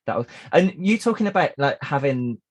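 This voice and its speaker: A man talking casually on a behind-the-scenes podcast in a halting, conversational way. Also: on the high side, lively intonation. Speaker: audio drama voice actor.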